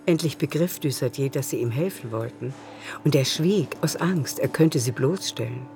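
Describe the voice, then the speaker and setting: A woman's voice: extremely low pitch, normal speed, a little mellow, soft background music. A woman reading scripted literary narration as the narrator of a radio drama.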